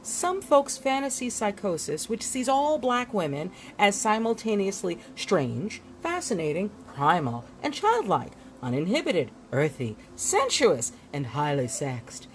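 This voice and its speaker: A woman reading glossary entries aloud from a book in an expressive style, giving headwords deliberate, dramatic emphasis. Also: medium pitch, slight background noise. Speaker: reader of a book.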